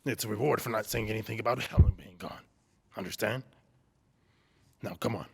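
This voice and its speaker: A man acting a shifty, menacing neighbour with a guilty secret in an old-time radio drama, halting and evasive. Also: lowish voice, gravelly, breathy edge. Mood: nervous, coaxing.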